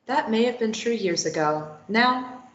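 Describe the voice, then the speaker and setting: A woman's voice: fairly high pitch, expressive, a little slow, a bit bright. A woman playing a bitter, sarcastic, self-deprecating young woman who wants to run away, in a stage play table read. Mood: bitter, resigned. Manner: sarcastic, dismissive. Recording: dull, roomy, echoey recording.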